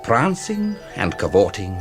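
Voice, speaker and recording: An actor playing an irritable, brooding British colonel with a gravelly voice in a radio drama. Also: male voice, fairly low pitch, huge pitch swings, measured pace, heavily acted. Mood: brooding, sinister. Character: brooding, irritable old colonel with sinister contempt. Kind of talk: slow theatrical radio acting. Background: music and ticking clock.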